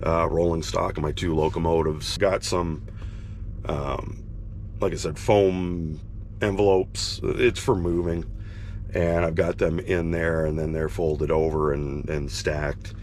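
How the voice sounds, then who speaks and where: A deep-voiced man with a gravelly, fry-heavy tone talks through his project in a loose, rambling way, full of ums and restarts. His voice is slightly crackly as he recovers from being sick, and he is speaking from the cramped sleeper bunk of a semi cab with a faint hiss behind him. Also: takes it slowly. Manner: rambling, relaxed, lots of fillers and restarts. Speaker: model railroad vlogger. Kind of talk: casual walkthrough vlog.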